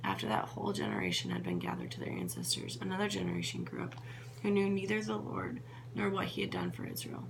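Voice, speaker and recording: A woman's voice: lowish voice, vocal fry. A woman reading scripture aloud, sometimes stumbling over names and correcting herself. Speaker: Bible reader. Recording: noisy with a steady hum.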